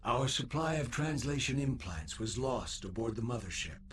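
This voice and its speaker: A man's voice: medium pitch, expressive, moderate pace, noisy background, close to an everyday voice. A man playing a grandiose, grave alien envoy in a video game, with formal, florid, declamatory delivery. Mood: ceremonious. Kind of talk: cinematic video game acting.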